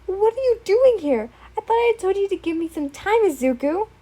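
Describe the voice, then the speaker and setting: A woman's voice: high-pitched, a little slow, mellow. A woman voicing a young woman who speaks in a firm, insistent way. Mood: alarmed.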